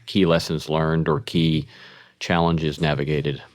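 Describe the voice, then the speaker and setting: Man, podcast host, extremely deep voice, dry close sound, attentive questions. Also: level tone, measured pace, no room sound. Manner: attentive, with many short backchannels. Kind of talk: interview conversation.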